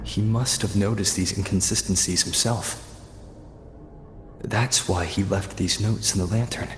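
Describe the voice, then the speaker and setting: An actor playing an earnest, thoughtful young male adventurer in a video game, speaking in a measured, pensive way. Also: low-pitched, fairly even intonation. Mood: pensive, grave. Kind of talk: English video game voice acting. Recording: echoey hall reverb, music behind. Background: game music.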